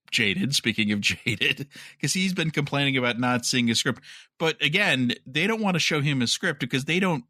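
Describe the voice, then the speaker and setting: Man, podcast co-host, crisp bright tone, very dry sound, casual news discussion, wry and skeptical. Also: fairly low pitch, dry recording.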